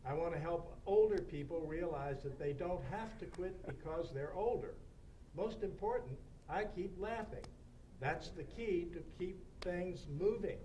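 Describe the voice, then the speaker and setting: A man's voice: high-pitched, a little slow, mellow. A man speaking informally and reading aloud at a club meeting. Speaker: club member addressing the guest. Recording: distant mic, slight background noise.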